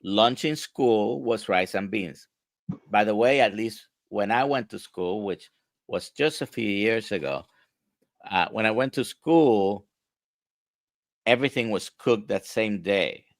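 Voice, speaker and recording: A man's voice: on the low side, a little slow, no room sound. A male doctor from Puerto Rico, explaining diet to an interviewer in an emphatic, conversational way with rhetorical questions.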